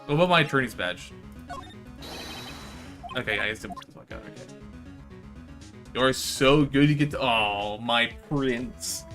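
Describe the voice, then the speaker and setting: A man with a sharp, bright voice talks casually as he streams a game. His talk is slangy and peppered with swearing as he addresses his viewers. Faint game music plays behind him. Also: medium pitch, measured pace, long pauses. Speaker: live streamer. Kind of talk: casual gaming livestream chat and commentary.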